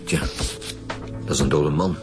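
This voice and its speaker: A man with a Flemish accent playing a brisk, blunt police detective in a radio drama, businesslike in manner. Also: very deep voice, fairly even intonation, over music. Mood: brisk, matter-of-fact. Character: brisk male police detective leading a murder squad. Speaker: actor in a radio crime serial. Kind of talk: naturalistic radio drama acting.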